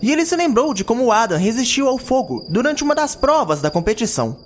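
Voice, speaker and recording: A man's voice: very high-pitched, very animated, quick pace, a little hiss or hum. A man narrating a scripted fantasy audio drama in a dramatic storytelling style. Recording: background music.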